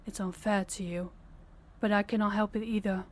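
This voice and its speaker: A woman playing a guarded but caring young rival princess in an intimate fantasy audio roleplay, reserved and measured. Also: mid-range voice, steady tone, a little mellow, close to an everyday voice. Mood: guarded, gentle.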